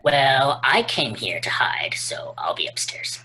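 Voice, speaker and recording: A woman at a stage play table reading, playing a jumpy, secretive man from out of town who is hiding out, in a husky voice. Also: a bass voice, sing-song, a little slow, sharp tone, in a whisper, heavily acted, low-quality recording. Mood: evasive.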